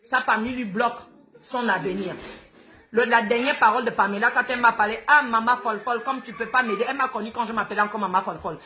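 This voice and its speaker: A woman's voice: fairly high pitch, fast talker, bright, forceful tone, a little hiss or hum. A woman with a Gabonese accent, a native Fang speaker, ranting live to her followers in an outspoken, combative, repetitive way. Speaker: social media host.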